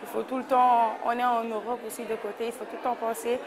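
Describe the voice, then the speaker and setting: A woman's voice: a little high, flat delivery, fast. A woman speaking insistently in an interview. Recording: crowd chatter behind.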